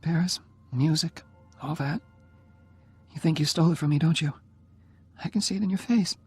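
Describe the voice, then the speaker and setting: A teasing, tough young woman on her deathbed speaks in a raised, breathy voice, bossing and mocking her man fondly, as a male narrator puts her on. The voice sits far above his own, with a husky edge, and faint music plays under the storybook reading. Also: a little high, moderate pace, voice pushed up. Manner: mocking, bossy, tender underneath. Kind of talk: audiobook character reading.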